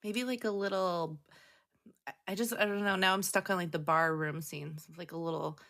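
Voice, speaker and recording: Female, podcast co-host, lowish pitch, gravelly fry, casual banter, informal, thinking aloud. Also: steady tone, moderate pace, fairly bright tone.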